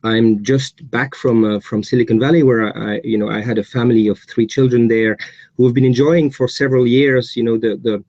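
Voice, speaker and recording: Belgian-accented man, mellow tone, halting webinar delivery with many restarts, dry close sound. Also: medium pitch, steady tone, no room sound, dull audio. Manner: hesitant, many repeats and fillers. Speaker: guest speaker. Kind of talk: webinar presentation.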